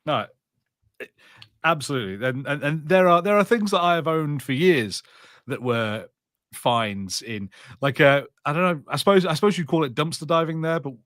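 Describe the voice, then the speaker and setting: A man co-hosting a podcast, telling casual anecdotes in a halting, rambling way and often repeating words. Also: a little high, lively intonation, a bit bright, no echo, studio quality. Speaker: podcast co-host. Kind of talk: casual chat and personal anecdotes.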